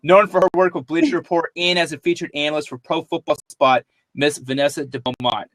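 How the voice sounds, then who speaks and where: A man's voice: on the high side, fairly even intonation, brisk, a bit bright, no room sound. Joking man hosting a sports podcast. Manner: upbeat, joking, loose. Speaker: podcast host. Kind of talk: casual podcast chat.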